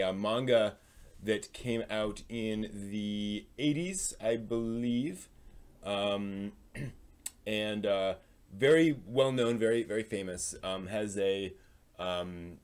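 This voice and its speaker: A man hosting a live stream, delivering news commentary in an informal, halting way and often trailing off at the ends of phrases. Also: lowish voice.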